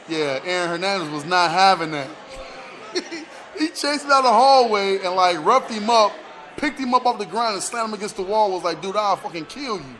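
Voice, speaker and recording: A man's voice: high voice, fairly bright tone. An American man co-hosting a sports radio show, talking in casual slang and repeating words and phrases for emphasis.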